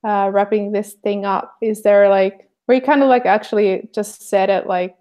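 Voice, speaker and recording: A woman's voice: mid-range voice, fairly even intonation, normal speed, dark tone. A woman from Finland with a Finnish accent, chatting casually and hesitantly on a livestream. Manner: hesitant, many fillers. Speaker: artist, co-host.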